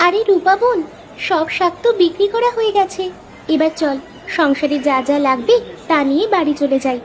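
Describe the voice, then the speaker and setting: A woman's voice: very high-pitched, moderate pace. A woman voicing a caring, dutiful young village daughter in an earnest, simple way for a moral-story cartoon.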